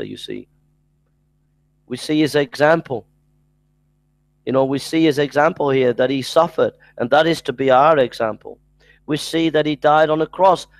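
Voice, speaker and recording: A man's voice: a little high, vocal fry, no room sound. A middle-aged man giving an earnest Bible lecture from a small room at home, speaking into a headset mic and often repeating himself. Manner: earnest, repeats scripture references, stumbles and restarts.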